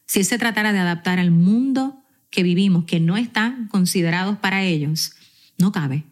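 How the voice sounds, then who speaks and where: A woman's voice: medium pitch, moderate pace. Puerto rican lady in her 40s, guest on a chat show, emphatic and upbeat, really pushes her points. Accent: Puerto Rican Spanish. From Puerto Rico. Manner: animated, emphatic, motivational. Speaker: podcast guest. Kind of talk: casual interview chat.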